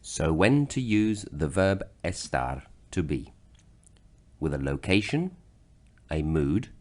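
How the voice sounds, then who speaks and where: A man from Britain narrating a slideshow grammar lesson, explaining slowly and deliberately. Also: a bass voice, big pitch swings, darkish tone. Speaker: language teacher.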